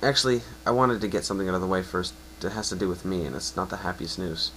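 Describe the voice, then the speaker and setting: An easygoing young male pony from a storybook, acted by a man. He sounds considerate and reassuring in a somewhat low, natural voice, recorded with some noise. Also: fairly even intonation, quick pace, a bit bright. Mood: subdued, reluctant. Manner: friendly, reassuring.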